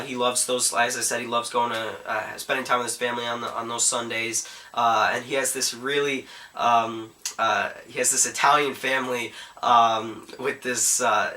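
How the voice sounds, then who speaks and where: A man's voice: medium pitch, pushed and bright. Chatty young man with a cold. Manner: chatty, frequent "guys" and "uh" fillers. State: getting over a cold. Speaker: movie reviewer. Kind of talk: casual vlog review.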